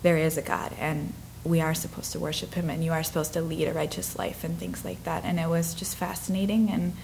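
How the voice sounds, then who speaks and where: A woman in her twenties from Minnesota, telling her life story in an interview, earnest and reflective, sometimes dropping to soft breathy asides. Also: sits low, level tone, a little fast, slight background noise, rough recording. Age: 20s.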